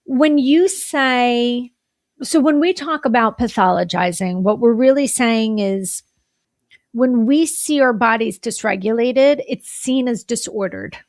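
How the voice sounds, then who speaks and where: Higher-voiced woman host explaining. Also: measured pace. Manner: explanatory, clinical wording, checks in with "right?". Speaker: podcast host. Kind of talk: interview hosting.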